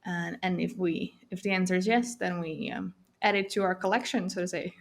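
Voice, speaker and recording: A woman from the Netherlands with a Dutch accent, a guest in a casual interview, speaking haltingly with many fillers and little laughs. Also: lowish voice, fairly even intonation. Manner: hesitant, many fillers, laughs often, switches between Spanish and English.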